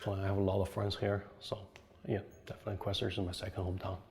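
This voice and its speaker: Low gravelly man from China. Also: completely flat delivery, quick pace, a little mellow, a little hiss or hum. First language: Chinese. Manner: hesitant, many fillers. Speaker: interviewee. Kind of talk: documentary interview.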